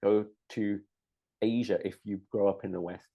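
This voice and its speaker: A middle-aged man, born in Hong Kong, chatting casually, hesitant with lots of ums and restarts and quick to laugh. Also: on the low side, steady tone, creaky, dull audio. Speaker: co-host. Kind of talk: casual chat.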